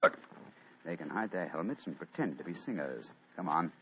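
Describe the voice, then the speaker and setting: Man acting as a commanding male detective, fast-talking, lowish voice, dull old radio sound. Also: expressive. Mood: brisk. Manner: crisp, authoritative, quick-witted. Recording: dull old radio recording, faint hiss.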